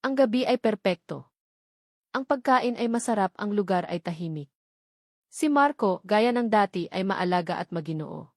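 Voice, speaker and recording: A woman's voice: medium pitch, lively intonation, normal speed, dry recording, clean studio sound. A woman with a Filipino accent narrating a scripted romance story as an audiobook.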